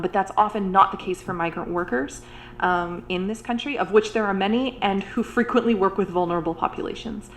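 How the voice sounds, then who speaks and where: A woman's voice: mid-range voice, a bit bright, a little hiss or hum. A woman researcher speaking in an earnest roundtable discussion, with frequent fillers and restarts. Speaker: university researcher and supervisor.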